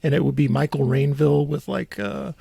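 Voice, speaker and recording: Male podcast host, slightly high-pitched with heavy fry, conversational and halting, thoughts trailing off. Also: dark tone, no echo. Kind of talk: casual local-politics podcast chat.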